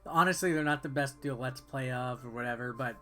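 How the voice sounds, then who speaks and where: A man's voice: mid-range voice, fast, a bit bright. A man doing casual, offhand gameplay commentary and reading game text aloud, with game music behind him. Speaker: game reviewer and video host.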